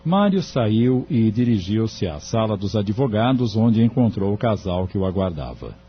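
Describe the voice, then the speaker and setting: A man narrating a scripted audio drama in a measured storytelling manner. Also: fairly low pitch, big pitch swings, breathy edge. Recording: dull lo-fi audio. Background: music.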